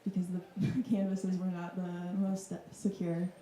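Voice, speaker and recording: A woman's voice: lowish voice, monotone, slow pace, mellow, a little hiss or hum. A woman in her thirties from Wisconsin, talking thoughtfully about her art practice in front of an audience. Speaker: artist guest. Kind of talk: casual reflective talk. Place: club room with audience. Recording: echoey room.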